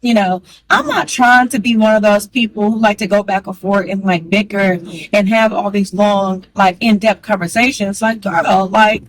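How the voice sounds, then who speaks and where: Woman vlogger, strong voice, quick candid delivery, mid-pitched, dry room. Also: no room sound, rough recording. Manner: chatty, candid, calls listener girl; fast talker. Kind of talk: casual talk to camera.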